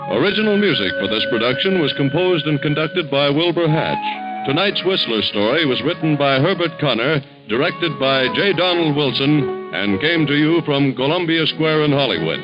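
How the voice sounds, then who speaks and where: A man's voice: on the high side, expressive, normal speed. A man announcing scripted credits on 1940s network radio in a formal, polished manner. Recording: dull old 1940s radio recording, music behind. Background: theme music.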